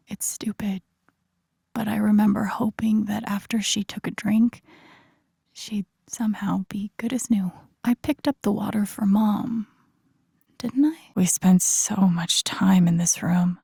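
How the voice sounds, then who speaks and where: A woman voicing a pensive, wounded young woman in a video game, thinking aloud in a soft, breathy, husky, confiding way. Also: mid-range voice, no room sound, studio quality. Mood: sad, regretful, then pensive, searching. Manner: subdued, understated, confiding. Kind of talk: introspective video game inner monologue.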